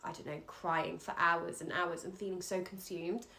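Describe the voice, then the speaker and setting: A woman's voice: lowish voice, little pitch movement, moderate pace, vocal fry, pushed and bright, slight background noise. A Scottish woman, an astrologer, giving an earnest, encouraging chart reading in loose conversational speech.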